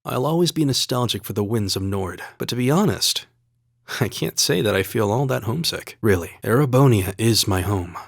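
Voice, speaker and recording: A man voicing a calm, devoted young academy student warrior in a video game, speaking in a measured, sincere and formal way. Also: fairly low pitch, gravelly, no echo, clean studio sound. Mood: warm, sincere. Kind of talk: English video game voice acting.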